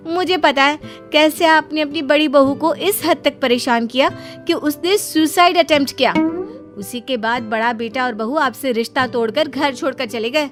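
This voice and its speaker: A woman voicing a sharp-tongued, defiant young daughter-in-law in an animated story, with dramatic, emotional delivery. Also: high voice, normal speed, soft background music, voice pushed up, lightly acted. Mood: taunting.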